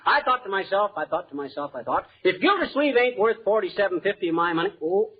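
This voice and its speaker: Brash American husband, high voice, animated pitch, teasing, folksy, 1940s radio comedy. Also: male voice, fast. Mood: sheepish, sly. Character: needling, wisecracking middle-aged husband, blustery and brash. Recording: muffled 1940s radio broadcast.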